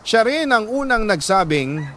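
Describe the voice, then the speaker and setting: A man with a Filipino accent reading a scripted first-person story like a radio storyteller, earnest and measured, with dramatic pauses. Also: high-pitched, sing-song, a bit bright, a little hiss or hum. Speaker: radio-style storyteller reading a letter sender's love story.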